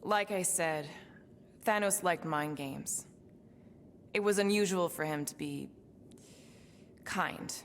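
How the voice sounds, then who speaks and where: A weary, wistful female assassin from space in a cinematic video game. Her voice sits low with a gravelly fry, guarded and reflective, pausing as she goes. Faint background noise sits behind her. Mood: reflective, somber.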